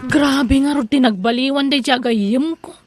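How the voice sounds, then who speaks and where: A woman's voice: high voice, unhurried, loud music behind the voice. A woman with an Ilocano accent acting a humble, chiding village mother in a comedic audio drama. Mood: complaining. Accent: Filipino, Ilocano.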